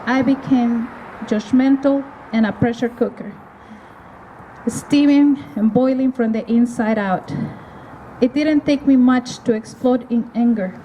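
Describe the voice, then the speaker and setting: Woman from el salvador sharing her story at a rally, slow careful phrasing, high voice, noisy outdoor recording. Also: mellow, lo-fi sound. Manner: earnest, measured delivery with pauses. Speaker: rally speaker. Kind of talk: prepared testimony speech. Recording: outdoor recording with clear ambient noise.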